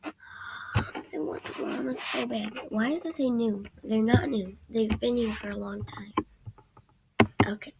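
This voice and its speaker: Sick teenage girl mumbling over loud noise. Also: on the high side, fairly even intonation, unhurried, gravelly, long pauses. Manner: hesitant and rambling, often trailing into soft mumbles. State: sick, losing her voice. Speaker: gaming channel host. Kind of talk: casual gameplay commentary. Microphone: computer microphone during screen recording. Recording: loud background noise with clicks.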